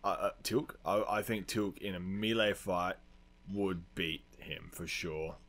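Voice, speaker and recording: A man, co-hosting a podcast in a casual, animated, rambling and sweary debate about science fiction. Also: low voice, unhurried. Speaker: podcast co-host.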